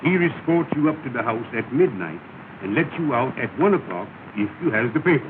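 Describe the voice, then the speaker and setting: A pompous, solemn lodge leader acted by a man in a vintage comedy film. He hands out orders grandly in folksy, ungrammatical dialect. The soundtrack is thin, narrow and clearly noisy. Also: mid-range voice, big pitch swings, fairly quick, close to an everyday voice. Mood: firm, secretive. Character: solemn, self-important lodge leader giving instructions. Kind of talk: 1930 film comedy acting.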